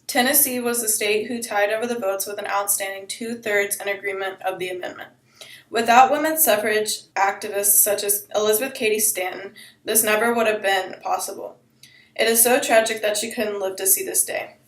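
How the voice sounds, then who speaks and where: A woman's voice: mid-range voice, fairly even intonation, normal speed, distant mic. A teenage girl reading a scripted student news report, echoey and away from the microphone. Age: teens.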